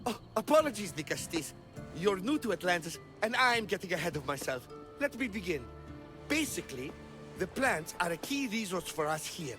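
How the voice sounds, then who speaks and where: A high, swooping male voice for a game's eager botanist. He is wordy and pedantic, easily flustered, and speaks over prominent music. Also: very animated, normal speed. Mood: earnest, scholarly. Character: eager, fussy male botanist of a mythical city, absorbed in theory. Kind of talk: video game cutscene acting.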